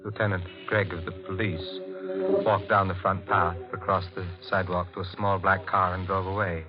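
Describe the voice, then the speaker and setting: A low, brooding male voice from an old radio thriller, played by an actor. He is a guarded, evasive husband who tells his dark story like a haunted confession. The sound is muffled, with prominent music behind. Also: little pitch movement, normal speed, natural voice. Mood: brooding, tense. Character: guarded, brooding married man narrating his own dark crime. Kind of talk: 1940s radio thriller acting, first-person narration.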